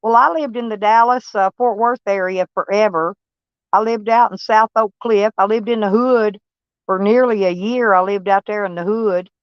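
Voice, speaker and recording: Live-stream host: a blunt, folksy Texas-accented woman, smooth voice, dull lo-fi audio. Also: mid-range voice, moderate pace, no echo. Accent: Texan. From Kentucky, USA. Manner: rambling, folksy, blunt and swearing. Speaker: livestream host. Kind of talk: casual live-stream chat with viewers.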